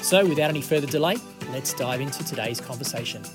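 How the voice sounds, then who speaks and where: A man with an Australian accent hosting a Bible podcast, his voice a little croaky, talking in a jovial, playful way. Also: mid-range voice, fast talker, over music. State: slightly croaky voice. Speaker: podcast host. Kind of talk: casual Bible podcast chat. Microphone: studio microphone.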